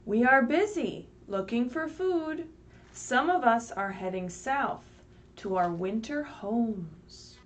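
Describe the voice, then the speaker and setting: High, animated woman reading to young children. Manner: sing-song, asks questions and checks in with "right?"; simple, patient explaining to children. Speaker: children's librarian leading story time. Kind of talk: read-aloud picture book story time for young children. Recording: distant mic, faint background noise, livestream.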